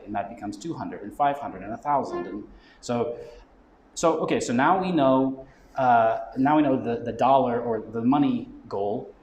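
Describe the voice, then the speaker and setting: A mid-pitched man talks through his ideas in a relaxed, explanatory way, tagging his points with "right?". He sounds like a guest expert at a live event. The sound is roomy, with a faint hum of ambience behind him. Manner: conversational, explanatory, checks in with frequent "right?". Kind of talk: live talk explaining business goal setting.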